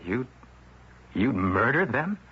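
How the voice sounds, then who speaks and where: An actor playing a defiant newspaper reporter in an old radio drama, indignant and husky. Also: male voice, mid-range voice, big pitch swings, slow, full character voice. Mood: outraged. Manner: indignant, stammering when shocked. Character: defiant male city reporter, outraged. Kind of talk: 1970s radio drama acting. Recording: dull old radio broadcast.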